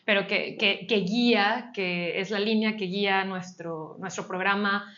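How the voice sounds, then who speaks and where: A woman from Mexico co-hosting a podcast. She speaks in a measured, reflective way and strings together lists of phrases. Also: mid-range voice, steady tone, fairly bright tone. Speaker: podcast co-host. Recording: slightly dull lo-fi sound.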